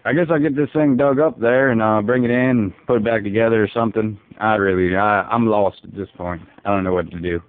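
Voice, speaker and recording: A man playing a folksy, easygoing, bewildered dad, rambling deadpan on a prank phone call. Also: lowish voice, fairly quick, hardly any pauses, dry recording, lightly acted. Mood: resigned, musing. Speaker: prank caller. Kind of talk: casual phone prank, improvised. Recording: muffled narrow phone line.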